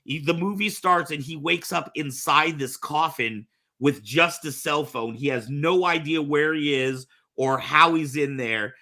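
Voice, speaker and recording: A man's voice: on the high side, a little slow, pushed and bright. A man hosting a podcast in a casual, conversational style. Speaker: podcast host. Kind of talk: casual chat.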